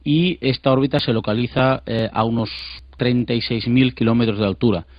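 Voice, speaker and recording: A man's voice: medium pitch, fairly even intonation, a little fast, no room sound. A man explaining a subject informally as a radio guest, in a hesitant, explanatory way. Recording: dull played-back radio clip.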